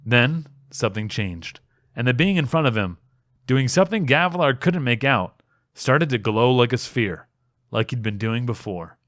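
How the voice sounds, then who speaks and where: A man reading a fantasy story aloud as dramatic audiobook narration, expressive and with deliberate pauses. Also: medium pitch, no room sound, studio quality. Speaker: amateur reader, a fan.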